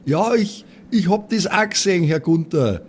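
A man's voice: high voice, expressive, normal speed, a little hiss or hum, pitched above the performer's own voice. A man voicing an eager, diligent little schoolboy with an earnest, hesitant manner in an improvised tabletop audio play.

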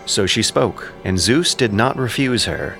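A man narrating a scripted ancient epic as a measured, solemn storyteller. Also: on the low side, expressive, a bit bright. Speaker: narrator and adapter. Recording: music clearly behind the voice.

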